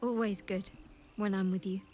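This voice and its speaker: An actress playing a guarded, wry young cleric of a dark goddess in a video game, speaking in a measured, reserved way. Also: female voice, mid-range voice, steady tone, soft background music, lightly acted. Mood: wry, warm. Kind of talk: video game character dialogue.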